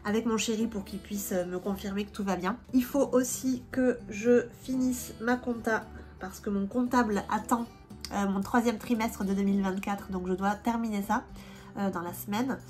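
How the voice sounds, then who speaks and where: A woman's voice: medium pitch, steady tone, normal speed, darkish tone. Woman, 30s, vlogger with a cold; loose, filler-heavy chat, faint music underneath. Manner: chatty, many fillers and restarts. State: stuffy, has a cold. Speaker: vlogger and independent language teacher. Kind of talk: casual vlog chat.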